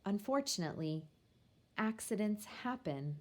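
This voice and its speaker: A woman reading a children's picture book aloud in the first person, with measured, expressive storytelling. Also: mid-range voice.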